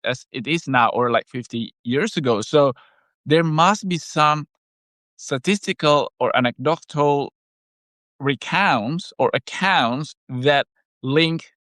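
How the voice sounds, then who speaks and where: Man with an Indonesian first language, measured. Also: fairly high pitch, a bit bright, dry recording. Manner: measured, explanatory, with frequent pauses and fillers. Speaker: health product maker and podcast co-host. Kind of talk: conversational podcast chat.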